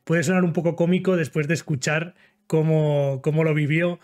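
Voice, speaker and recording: Man reading the chat, a little high. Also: moderate pace. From Spain. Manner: relaxed, reads out comments. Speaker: podcast panelist reading the live chat. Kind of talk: casual chat.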